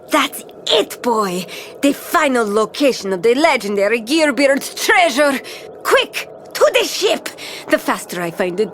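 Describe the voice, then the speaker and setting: A woman's voice: on the high side, fairly bright tone, a little hiss or hum. An actress playing a bold, impatient female pirate captain in a cartoon, with a commanding, theatrical delivery and seafaring slang.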